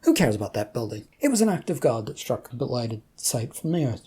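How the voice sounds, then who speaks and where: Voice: mid-range voice, sing-song, brisk, mellow, husky. A narrator voicing a smug, evasive god with a grandiose, dismissive manner in a story reading. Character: smug, breezy, evasive god founding his own religion.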